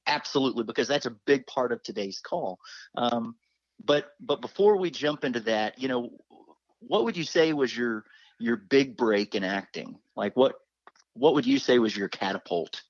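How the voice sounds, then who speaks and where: A man's voice: medium pitch, moderate pace, no echo, dull audio. A man interviewing in a conversational, rambling and affirming way, often checking in mid-thought. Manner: rambling, affirming, often tags phrases with "right". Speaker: interviewer, co-host.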